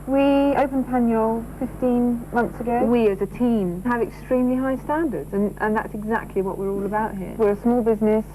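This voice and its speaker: A woman's voice: a little high, fairly even intonation, a little fast, few pauses, dark tone, low-quality recording. A woman who runs a catering business, giving an earnest, enthusiastic testimonial for a commercial. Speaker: caterer, small business owner. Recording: faint noise and hum.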